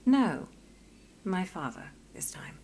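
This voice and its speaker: A woman's voice: on the low side, sing-song, takes it slowly, gravelly, darkish tone, slight background noise, exaggerated voice. An actress playing a principled, dignified marshal in a video game, formal and precise in her wording. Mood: dignified, firm. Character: principled, dignified female marshal, firm and proper.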